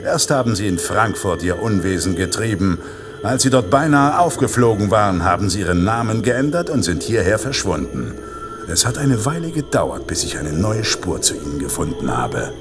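Vengeful killer santa for horror audio play, lowish voice that swings around a lot, deliberate, music behind. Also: male voice, sing-song. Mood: righteous menace. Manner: menacing, deliberate, self-righteous. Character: vengeful male vigilante killer dressed as Santa Claus. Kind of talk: German horror audio drama acting.

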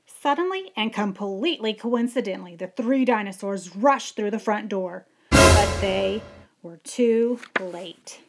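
Slow, expressive woman reading to children. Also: medium pitch, faint music behind. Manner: measured, emphatic. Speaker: school librarian and storyteller. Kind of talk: expressive read-aloud narration for children.

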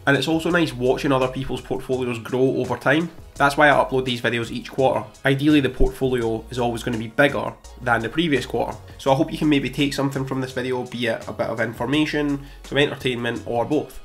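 Young British man, slightly croaky, methodical finance vlogger, faint music bed under him. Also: medium pitch, fast, few pauses. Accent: British English. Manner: steady, methodical reading of figures. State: slightly croaky voice after illness. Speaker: personal finance YouTuber. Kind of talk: scripted portfolio update to camera. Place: small home room, indoors. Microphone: studio mic in front of him.

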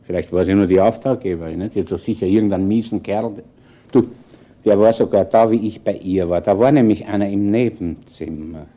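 A man acts out a shrewd Viennese chief inspector in a radio crime play. His voice is low and dark, with an Austrian accent and an unhurried, naturalistic delivery. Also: dull audio, close to an everyday voice. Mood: matter-of-fact.

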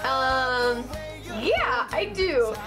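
A woman's voice: sits high, animated, extremely slow, over music. A young woman with a Southern Ohio twang, chatting casually to her webcam in a small bedroom, bubbly and gushing, full of ums and likes. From Midwest United States. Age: young adult. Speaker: vlogger. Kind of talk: casual chat to camera. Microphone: built-in webcam mic.

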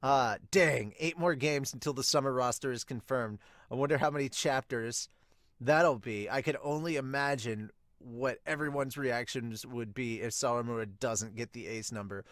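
A man's voice: medium pitch, normal speed, a bit bright, no echo, clean studio sound. A man in his thirties talking informally and expressively to viewers as a review host, thinking aloud. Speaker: host of manga review channel. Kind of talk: casual reaction and commentary to viewers. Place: small home room, indoors.

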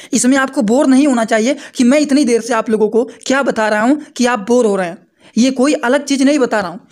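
A young man explaining a medical topic to students in a lecture, repeating points and checking with rhetorical questions over his slides. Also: extremely high pitch. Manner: repetitive, asks listeners rhetorical checks. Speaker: pharmacy teacher.

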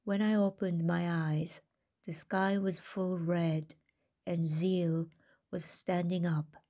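A woman reading a first-person story aloud in a gentle, deliberate manner. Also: fairly low pitch, little pitch movement.

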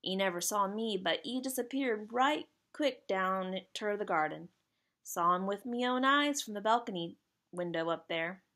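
A woman's voice: fairly high pitch, very animated, moderate pace, vocal fry, exaggerated voice. A woman voicing a plucky, friendly working-class British boy from a children's storybook, speaking earnestly in slangy, colloquial grammar. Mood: proud storytelling. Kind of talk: children's storybook character read aloud.

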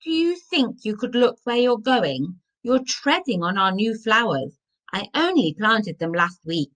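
Woman playing a fretful, high-strung dad. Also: animated, unhurried, fairly bright tone. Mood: exasperated. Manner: fretful, complaining. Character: flustered, exasperated father, easily panicked grown man.

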